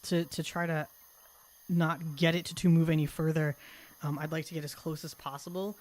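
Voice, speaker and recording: A woman's voice: deep voice, level tone, moderate pace, darkish tone. Hesitant woman playing a tabletop game. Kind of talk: casual in-character game play description.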